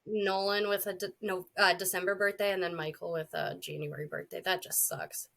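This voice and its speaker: A woman in her thirties co-hosting a casual podcast chat, a little congested from a cold, blunt and quick to swear. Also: lowish voice, flat delivery, creaky, fairly bright tone. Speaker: podcast co-host.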